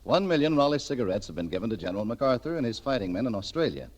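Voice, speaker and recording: A man's voice: medium pitch, very animated, very fast, darkish tone. A man announcing a sponsor's message in a polished, declamatory 1940s radio sales style. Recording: vintage 1940s radio broadcast.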